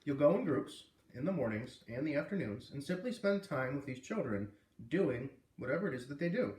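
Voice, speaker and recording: A man playing a calm, earnest schoolteacher who explains things patiently to his class in a storybook. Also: fairly high pitch, brisk, echoey room, far from the mic, rough recording. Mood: earnest, serious.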